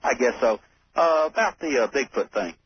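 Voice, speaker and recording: A man's voice: medium pitch, animated, normal speed, slightly rough. A forty-year-old man from Alabama calling in to a radio show, folksy, hesitant and agreeable. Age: 40s. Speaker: caller. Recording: phone-in call on noisy lo-fi radio.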